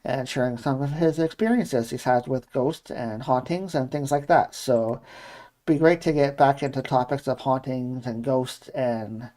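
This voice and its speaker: A man's voice: mid-range voice, measured pace, mellow. A man with a Canadian accent co-hosting a live podcast in a casual, chatty style. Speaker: podcast co-host and producer. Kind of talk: casual live show chat.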